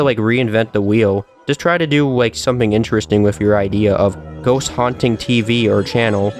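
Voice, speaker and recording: Earnest male video commentator over music. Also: lowish voice. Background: background music.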